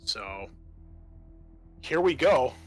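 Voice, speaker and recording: A man's voice: mid-range voice, very animated, extremely slow, soft background music. A man acting as game master, narrating a horror tabletop roleplaying game.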